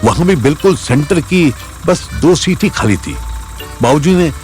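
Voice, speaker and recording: A man's voice: on the high side, lively intonation, a little slow, darkish tone, soft background music. A man narrating a Hindi audio story in a dramatic scripted style.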